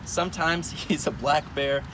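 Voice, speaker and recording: A man playing a wise, commanding old wizard in an amateur readers' theater, reading aloud with deliberate, halting delivery. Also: fairly high pitch, fairly even intonation, natural voice. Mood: explanatory. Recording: noisy outdoor recording with traffic hum.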